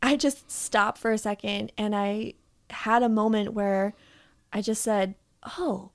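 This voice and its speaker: A woman's voice: mid-range voice, steady tone, slow pace. A woman hosting a podcast in casual chat, bubbly and laughing often as she teases herself. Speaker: podcast host.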